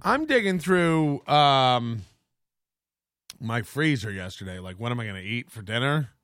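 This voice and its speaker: A man's voice: mid-range voice, sing-song, a little slow, fairly bright tone, dry recording, studio quality. A man hosting a podcast in casual, rambling chat, crude and joking, with lots of restarts. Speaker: podcast host.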